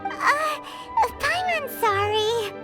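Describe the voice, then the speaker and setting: A woman voicing a tiny, childlike flying fairy companion in a video game, squeaky, with music behind. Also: expressive, drawn-out words, heavily acted. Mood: sheepish. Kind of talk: English video game dub acting.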